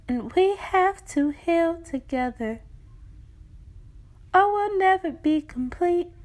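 A woman voicing her own wounded reflection, a young woman who speaks urgently and emphatically to her, slowly pleading with her to heal. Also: very high-pitched, a little mellow, voice pushed up.

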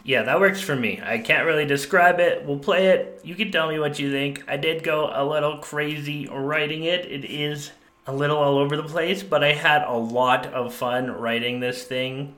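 Podcast co-host, male, bright voice, joking deadpan delivery, echoey room. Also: fairly high pitch, normal speed. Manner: joking, laughing, deadpan bits. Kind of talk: casual comedic podcast chat.

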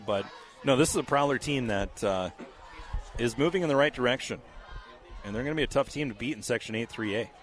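A man with a scratchy voice calling a high school football game play by play, steady and measured, with casual fillers. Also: faint music behind. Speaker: play-by-play announcer.

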